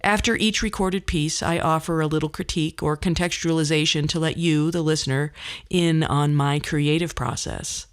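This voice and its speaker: A middle-aged woman delivering a scripted podcast monologue as host, phrasing things in a wry, deliberate way. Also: low-pitched, fairly even intonation, clear, resonant tone.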